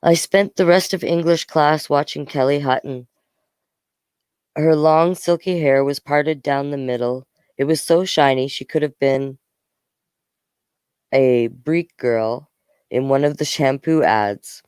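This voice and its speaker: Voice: mid-range voice, slow, pauses often, a little mellow, clear, resonant tone, dry recording, clean studio sound. A storyteller reading a children's novel aloud in an informal way, acting out the dialogue. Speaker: storyteller, host of a read-aloud series.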